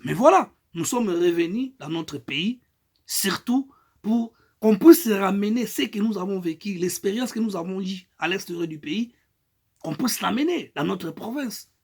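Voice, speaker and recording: A middle-aged Congolese man with a high, husky voice delivers an impassioned political appeal. He speaks French with a Congolese accent and presses his points hard, swinging between loud bursts and quieter stretches. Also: expressive, measured pace. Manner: emphatic, rising and falling loudness, mixes in Lingala phrases. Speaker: political activist.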